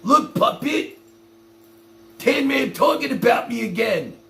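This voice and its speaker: A man's voice: extremely high pitch, animated, slow, creaky, pushed and bright, echoey room, voice pushed up, heavily acted. A man mockingly voicing a gloating, childish woman who coos to her puppet during a livestream rant. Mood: mocking glee. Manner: cooing, mocking.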